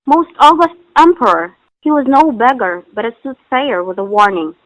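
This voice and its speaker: High-voiced anxious young daughter, played by a woman. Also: lively intonation, normal speed, dry recording, lightly acted. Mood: earnest, respectful. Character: young devoted daughter of a bell maker, anxious and pleading. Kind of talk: stylized stage drama recital of formal archaic lines. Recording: muffled phone-like audio.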